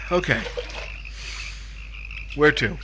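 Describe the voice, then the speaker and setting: An actor playing a weary, hesitant married man in a 1950s-style radio drama, speaking in halting short phrases. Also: fairly high pitch, noisy background.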